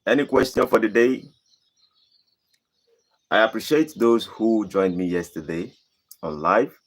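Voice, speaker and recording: Nigerian man, low voice, deliberate, emphatic, live-stream host. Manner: deliberate, emphatic, rising to high emphatic bursts. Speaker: channel host. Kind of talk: live stream answering viewer questions.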